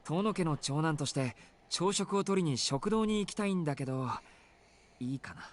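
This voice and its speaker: An actor playing a mild, polite young male student in a Japanese visual novel, soft-spoken. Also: fairly high pitch, lively intonation, quick pace, close to an everyday voice. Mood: hesitant apology.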